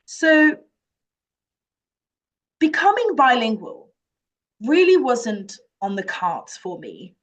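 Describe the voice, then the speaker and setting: A woman's voice: on the high side, sing-song, takes it slowly, pauses often, muffled recording. A woman from France with a French accent, earnestly presenting a children's language summer camp from her office. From France, Jura. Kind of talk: presentation to parents.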